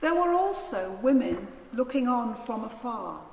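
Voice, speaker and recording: A woman narrating a Gospel in a solemn, measured and deliberate reading style. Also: on the high side, big pitch swings, gravelly. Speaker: scripture reader, narrator. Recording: echoey church hall, faint noise.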